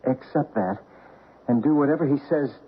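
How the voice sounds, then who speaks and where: A man's voice: mid-range voice, normal speed, natural voice. An actor playing an earnest, intense man who is sensitive to spirits, in an old radio drama. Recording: narrow, noisy old radio recording.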